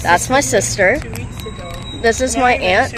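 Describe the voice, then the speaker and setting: A woman vlogger casually introducing her family and friends, speaking haltingly with fillers. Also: fairly high pitch, music in the background, poor audio. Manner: slow, hesitant listing with fillers. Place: outdoors on a city street. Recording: street traffic noise. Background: traffic and street ambience.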